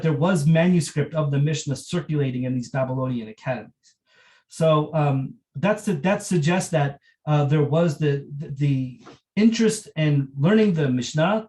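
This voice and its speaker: A man giving an unscripted academic lecture, halting as he searches for words and often repeating phrases. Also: on the high side, mellow.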